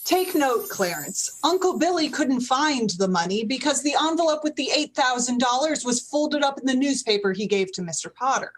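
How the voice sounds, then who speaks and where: A woman's voice: sits high, expressive, moderate pace, few pauses, rough recording. A woman playing a wise head angel who explains a story conversationally in a radio play. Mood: instructive.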